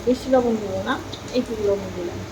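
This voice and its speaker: A woman's voice: medium pitch, a little fast. A woman vlogging casually at home, talking to viewers, repeating her phrases and asking them questions. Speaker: home cooking and eating vlogger. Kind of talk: casual chatty vlog talk to viewers. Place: indoors at home. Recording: echoey room with clear background noise.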